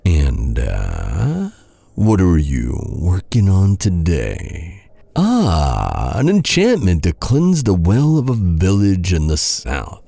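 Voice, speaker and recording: An actor playing a bitter, sardonic knight who taunts a royal captive with mock courtesy in a dark fantasy audio roleplay. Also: male voice, sits low, wildly swooping pitch, vocal fry, no echo, full character voice. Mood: sarcastic, needling. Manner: sardonic, mock-courteous, brooding, with sighs and pauses.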